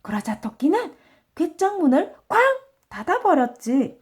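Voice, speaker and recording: A woman's voice: high voice, sing-song, unhurried, a little mellow. A woman narrating a children's storybook as bedtime reading. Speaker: storybook reader.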